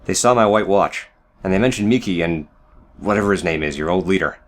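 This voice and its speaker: Irritable young male smoker read by a man, low pitch, gruff audio book dialogue. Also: fairly quick, lightly acted. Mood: irritated.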